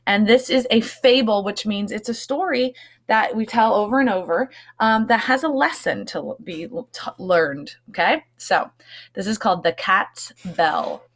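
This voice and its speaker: A woman's voice: medium pitch, animated, normal speed. A woman teacher reading a storybook aloud to young children, expressively, with explanatory asides.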